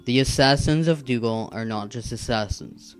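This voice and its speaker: A man playing a patient, earnest animal assassin mentor in an animated drama, explaining things in a measured, instructive way. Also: mid-range voice, lightly acted. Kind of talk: scripted animated drama dialogue. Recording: background music under the voice.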